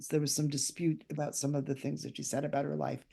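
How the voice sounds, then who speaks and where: A woman's voice: low-pitched, flat delivery, quick pace, gravelly, warm tone, breathy edge. An older woman, a guest author and writing teacher, speaking conversationally in an interview over a video call.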